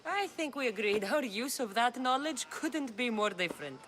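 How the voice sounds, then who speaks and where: A woman's voice: on the high side, very animated, normal speed, fairly bright tone, a little hiss or hum. An actress playing a blunt, sceptical woman warrior of ancient Greece in a video game, direct and challenging. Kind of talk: dramatic video game dialogue.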